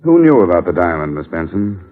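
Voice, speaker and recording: An actor playing a brisk, hard-boiled American insurance investigator in a 1950s radio detective drama, clipped and matter-of-fact. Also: male voice, sits low, very animated. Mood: calm, probing. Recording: tinny old radio recording, music behind.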